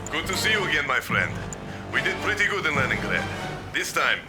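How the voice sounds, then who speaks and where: An actor playing a hearty, battle-eager Soviet tank commander in a video game. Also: male voice, a little high, big pitch swings, a little fast. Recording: loud music behind.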